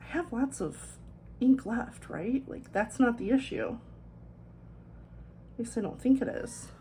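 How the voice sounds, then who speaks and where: High-voiced woman, slow and chatty. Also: lively intonation, mellow, a little hiss or hum. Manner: chatty and self-deprecating, rambling asides. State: voice thick from swollen tonsils. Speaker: planner vlogger. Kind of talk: casual chat while decorating a planner.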